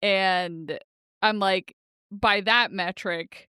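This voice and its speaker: A woman's voice: medium pitch, steady tone, extremely slow, bright, forceful tone, clear, resonant tone, no echo, studio quality. A woman co-hosting a podcast in casual book chat, wry and joking, with frequent laughter. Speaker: podcast co-host.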